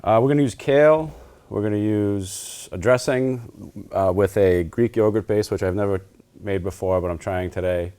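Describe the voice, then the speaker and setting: Low-voiced easygoing man cooking on air. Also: normal speed, dark tone, smooth. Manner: easygoing, lots of "uh" and restarts. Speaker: town manager, guest cook. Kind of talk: casual cooking demonstration.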